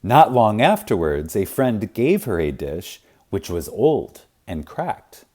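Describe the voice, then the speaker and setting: A man narrating a spiritual audiobook in a reverent, emphatic manner, with deliberate pauses. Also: on the low side, very animated, a little mellow. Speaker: audiobook reader. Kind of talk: scripted narration of a spiritual self-help audiobook.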